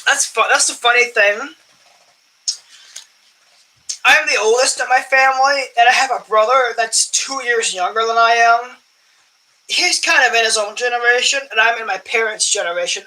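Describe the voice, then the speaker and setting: A young man with a higher voice for a man chats casually while sorting his coin collection, amused at his own stories and often clearing his throat. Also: normal speed, bright, forceful tone, low-quality recording. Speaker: coin collecting channel host. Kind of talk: casual rambling vlog chat while sorting coins.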